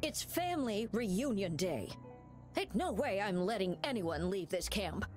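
A woman's voice: medium pitch, animated, measured pace, a little mellow, faint music behind, lightly acted. A woman playing a bossy, scolding camp matron. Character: stern motherly woman guarding a camp.

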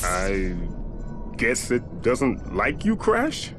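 A man voicing a frank, skeptical creature companion in an animated video game cutscene. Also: medium pitch, extremely slow, heavily acted. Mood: unimpressed. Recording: music behind the voice.

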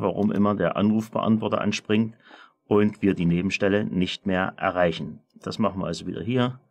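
Male tutorial presenter, lowish voice, methodical, step-by-step software walkthrough. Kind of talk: software screencast tutorial, semi-spontaneous walkthrough.

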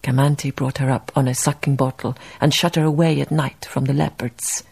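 An actress playing a wistful, wry Danish baroness, narrating a literary radio drama in a measured way. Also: female voice, rumbling low, flat delivery, creaky, darkish tone, slightly rough, full character voice. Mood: vivid reminiscing. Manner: measured storytelling delivery.

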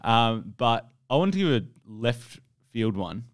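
A man's voice: medium pitch, sing-song, a little slow, no echo. A young Australian man co-hosting a podcast with dry, joking asides. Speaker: podcast co-host. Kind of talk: casual podcast chat. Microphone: desk mic on boom arm.

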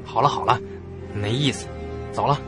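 A man playing a bold, teasing, excitable young thrill-seeker in a horror audio drama. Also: mid-range voice, normal speed, music in the background, lightly acted. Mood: casual, urging.

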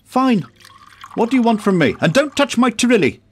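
A man's voice: very high voice, big pitch swings, moderate pace, a little hiss or hum. A man playing an imperious but flustered chancellor in a science fiction audio drama. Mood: defensive, flustered. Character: indignant, flustered male chancellor of a star empire.

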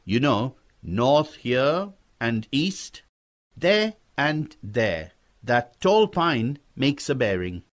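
Man playing helpful backwoods horseman, hearty, direct, slow, animated, storybook dialogue. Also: medium pitch, voice pushed up. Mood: cautioning. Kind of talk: acted audiobook dialogue.

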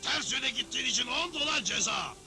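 A man's voice: extremely high pitch, lively intonation, brisk, creaky, bright, forceful tone, soft background music, exaggerated voice. A man voicing a bossy, stubborn, boastful Wild West judge in a Turkish cartoon dub. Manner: bossy, threatening. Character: bullying, stubborn frontier judge, cantankerous and boastful.

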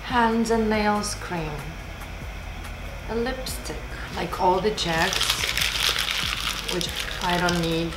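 A young woman vlogger, speaking English as a second language, chats casually at a slow pace. Her voice has a roomy echo, as if recorded indoors a little way from the camera, and music plays clearly behind her. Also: mid-range voice, fairly even intonation. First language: not English. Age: 20s. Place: small room indoors, seated at desk. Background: background music.

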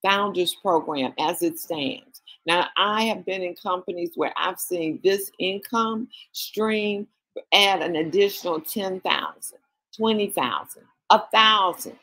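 A husky-voiced woman with a lowish pitch speaks on a video call to her sales team. She coaches with urgency, firing off question after question. The tone is motivational. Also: a bit bright, low-quality recording. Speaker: sales leader addressing a team.

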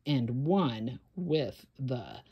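Slow, theatrical woman reading to children. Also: very deep voice, sing-song, husky, lo-fi sound. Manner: suspenseful, theatrical, with whispers and gasps. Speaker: children's storytime host. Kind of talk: dramatic children's read-aloud.

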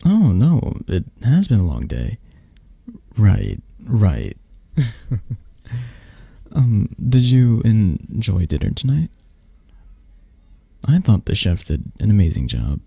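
A possessive yet tender mafia boss, acted by a man for an ASMR romance roleplay, murmurs intimately and teasingly. The delivery is slow and halting, with a husky edge and a close, dry sound. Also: on the low side, wildly swooping pitch, warm tone, no room sound, exaggerated voice. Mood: tender, sleepy.

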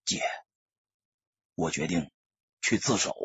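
A man voicing a remorseful young ex-soldier turned gangster in an audiobook, speaking in a subdued, halting, resolute manner. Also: a bass voice, animated, slightly rough, no room sound, highs cut off, pitched below the performer's own voice, full character voice. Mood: grave, subdued. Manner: hushed, heavy, halting. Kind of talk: audiobook character acting.

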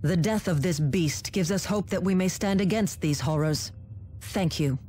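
A woman's voice: on the low side, moderate pace, warm tone, slight background noise, close to an everyday voice. A woman playing a formal, earnest military aide who briefs a commander in a video game.

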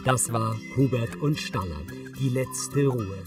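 A man narrates scripted scenes in a measured, matter-of-fact way. His pitch is medium, and music plays clearly underneath. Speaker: audio description narrator.

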